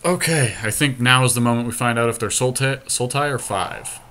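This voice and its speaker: A man's voice: medium pitch, fairly quick, pushed and bright. A man co-hosting a podcast, thinking aloud through a card game in casual commentary with some mild swearing. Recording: clear background noise.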